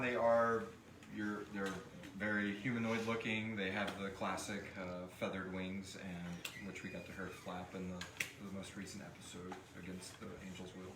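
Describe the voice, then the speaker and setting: A man's voice: lowish voice, heavy background noise. Casual male host in a roomy group session. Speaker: show creator hosting a live audience question session. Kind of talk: casual group live Q&A chat. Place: live stream in a room with several people. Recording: roomy, distant mic with group chatter.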